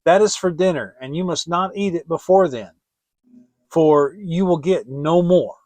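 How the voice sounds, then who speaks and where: A man's voice: fairly high pitch, a little slow, darkish tone, dry recording, lightly acted. A man voicing a cold, scornful stepmother in a storybook reading, commanding and emphatic. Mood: scornful, scolding. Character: cold, scornful, bossy stepmother in a fairy tale.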